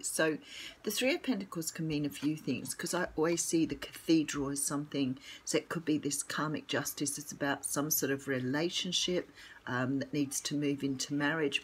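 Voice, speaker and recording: Croaky low-voiced Australian woman. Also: rough recording. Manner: chatty, rambling, frequent ums. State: croaky morning voice. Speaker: tarot reader. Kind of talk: casual solo tarot reading.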